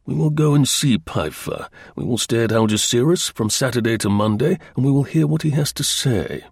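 A man voicing a shrewd, unflappable intelligence officer in an audiobook, speaking calmly, dryly and deliberately. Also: mid-range voice, creaky, slightly rough, no echo. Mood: dryly amused. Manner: calm, dry, deliberate.

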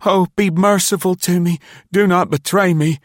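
A man's voice: fairly high pitch, steady tone, a little slow, no room sound, clean studio sound, pitched above the performer's own voice, lightly acted. A man voicing a polite, earnest teenage boy, frightened and pleading, in an audiobook story. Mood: desperate, terrified. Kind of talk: audiobook character dialogue.

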